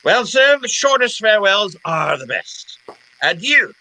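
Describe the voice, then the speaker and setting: A man acts a florid, jovial older rogue from an old-time radio drama. He speaks slowly and grandly, with a very sharp, forceful tone. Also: high voice, very animated, heavily acted, rough recording. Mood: jovial, grandiose. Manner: pompous, florid, theatrical, chuckling delivery. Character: suave, jovial older male villain, florid adventurer.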